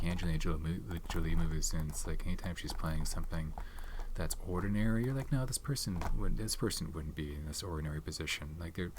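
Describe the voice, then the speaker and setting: A man speaking softly in an ASMR video, chatting casually and rambling with many fillers and restarts. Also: sits low, background noise. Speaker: ASMR channel host reviewing his film collection. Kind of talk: soft-spoken ASMR chat.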